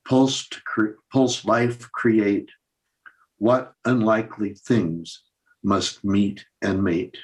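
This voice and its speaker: Older male poetry reader, fairly low voice, slow and deliberate delivery. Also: low-quality recording. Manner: deliberate, pausing between short phrases. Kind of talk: poetry recitation.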